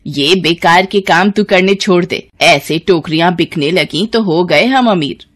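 A woman's voice: lowish voice, a little slow. A woman voicing a stern, complaining elderly mother-in-law in a cartoon story, scolding and domineering.

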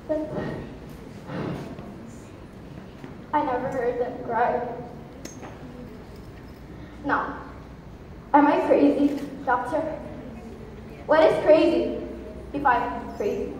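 A young girl playing a distraught, defiant bereaved mother in a theatrical stage monologue, with insistent delivery. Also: high voice. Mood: bitter, questioning. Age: child, about 11 or 12. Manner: theatrical, insistent rhetorical questioning. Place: school auditorium. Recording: echoey hall from far off, faint noise.

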